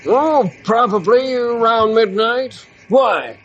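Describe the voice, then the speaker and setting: An actor playing an eager, cooperative railroad employee in an old-time radio western. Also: male voice, extremely high pitch, takes it slowly, lo-fi sound, music in the background. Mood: earnest.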